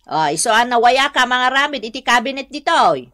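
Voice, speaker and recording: A woman's voice: on the high side, big pitch swings, brisk. An actress with an Ilocano accent playing a haughty, quarrelsome, well-off woman who scolds and bosses people in a radio drama. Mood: bossy. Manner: domineering, scolding, sharp.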